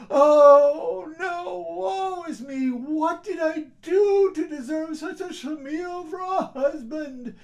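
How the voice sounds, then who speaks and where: Storyteller doing shrill scolding housewife. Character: shrill scolding wife, a harried village housewife. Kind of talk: storybook character voice.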